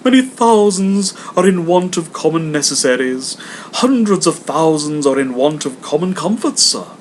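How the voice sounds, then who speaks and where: A man voicing a courteous, earnest gentleman collecting for charity in an audiobook, formal and a little hesitant. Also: on the high side, sing-song, moderate pace, a little hiss or hum, pitched above the performer's own voice. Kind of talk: dramatized audiobook character voice.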